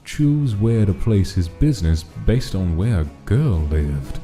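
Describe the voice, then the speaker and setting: A man's voice: lowish voice, sing-song, a little slow, mellow. A man reading a scripted, dramatic story as its narrator. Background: background music.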